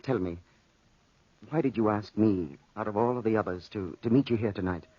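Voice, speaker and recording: An actor playing an earnest, smitten young French soldier, hesitant, in 1940s radio acting style. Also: male voice, on the low side, fairly quick, no echo, lightly acted. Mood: hesitant, torn. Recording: noisy, lo-fi vintage radio recording.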